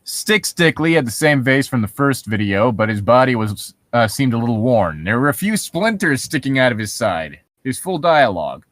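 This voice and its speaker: A man's voice: mid-range voice, sing-song, moderate pace, hardly any pauses, a bit bright, no echo. Male co-host for horror-story readings, loose and foul-mouthed banter, dry sound. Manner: sweary, joking. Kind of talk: casual banter and reading aloud.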